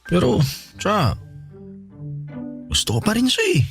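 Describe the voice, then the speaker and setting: A man's voice: high voice, wildly swooping pitch, a little slow, soft background music, exaggerated voice. An actor playing a smitten, cocky young man with a Filipino accent in a radio drama, boyish and breezily confident. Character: smitten, stubborn young male best friend, cocky. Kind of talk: Tagalog radio drama acting.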